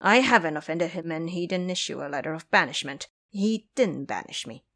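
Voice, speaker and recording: A woman's voice: low voice, animated, a little fast, fairly bright tone, pitched below the performer's own voice. A woman voicing a foolish, pouty male pig monster in an audio drama, sulky and pleading. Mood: pleading, coaxing.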